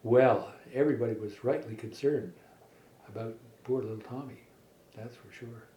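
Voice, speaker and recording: A middle-aged man telling a made-up children's story in a halting, folksy way, repeating words as he goes. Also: medium pitch, warm tone. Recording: echoey room with faint background noise.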